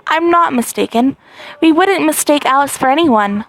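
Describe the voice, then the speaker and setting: An eerie hooded stranger from a story game speaks in a calm, hushed, unhurried way, as a woman puts him on. The voice sits a little lower than her own and is recorded close and dry. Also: no room sound, rough recording. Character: mysterious hooded young man, calm and eerie.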